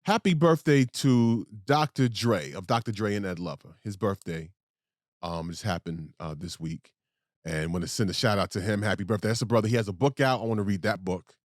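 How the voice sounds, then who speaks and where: A man's voice: lowish voice, fairly quick, dry recording, studio quality. A man co-hosting a podcast, chatting casually and rambling off on tangents. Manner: rambling, digressive storytelling. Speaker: podcast co-host.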